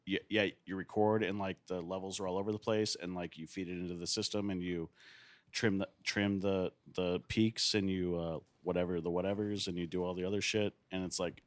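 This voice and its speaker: A man with a low voice chats as a podcast guest in a dry, close recording. He rambles through casual banter and swears freely. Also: level tone, fairly quick, no echo. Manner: rambling, profane.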